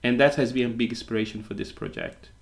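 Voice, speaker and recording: A man's voice: mid-range voice, steady tone. Brazilian-born man, English, interview guest; reflective, hesitant delivery with frequent self-corrections. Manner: thoughtful, halting, many restarts. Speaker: guest, co-living entrepreneur. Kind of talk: conversational interview answers.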